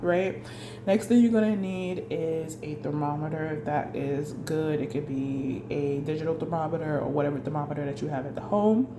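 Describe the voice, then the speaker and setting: A woman's voice: fairly low pitch, roomy sound, noisy background. A woman with a congested voice from a cold hosts a casual home cooking tutorial, chatty and often tagging her sentences with "right?". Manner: chatty, keeps adding "right?". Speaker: cooking channel host. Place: home kitchen.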